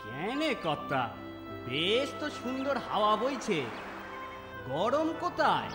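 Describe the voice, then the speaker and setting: A man's voice: high-pitched, big pitch swings, slow pace, reverberant, long reverb tail, over music, heavily acted. A man playing a naive, talkative, middle-aged village servant in a cartoon, with a rural Bengali accent and a humble, folksy manner. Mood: naive, eager. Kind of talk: cartoon dialogue acting.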